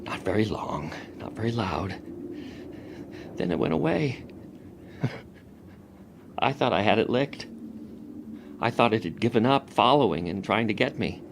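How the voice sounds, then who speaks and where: An actor playing a haunted, obsessed man who fears the living wind, brooding and halting in a dramatic radio monologue, often dropping into whispers. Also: fairly low pitch, very animated, gravelly, exaggerated voice. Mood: bitter, fearful. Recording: very noisy radio drama recording.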